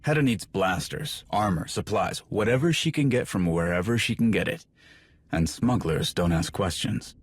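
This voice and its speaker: Roguish game soldier: very deep, husky, blunt, grumbling, recorded dry and close. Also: male voice, animated, moderate pace, no room sound. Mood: matter-of-fact. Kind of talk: cinematic video game acting.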